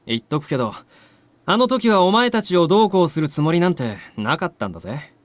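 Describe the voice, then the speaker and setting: Visual novel role: nonchalant, cocky young male, rough casual speech, highish animated voice, brisk. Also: no echo. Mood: nonchalant explaining. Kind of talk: Japanese visual novel voice acting.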